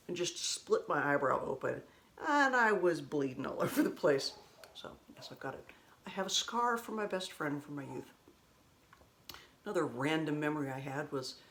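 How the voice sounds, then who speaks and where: A woman with a low voice telling rambling stories in a casual, chatty way, laughing now and then. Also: fairly bright tone. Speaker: vlogger. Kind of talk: casual storytelling.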